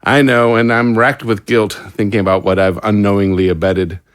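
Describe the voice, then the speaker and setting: An actor playing a guilt-ridden, resigned scientist held prisoner in an audio drama, speaking formally and articulately with regret. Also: male voice, fairly low pitch, level tone, normal speed, no echo, clean studio sound, close to an everyday voice. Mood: guilty, bitter.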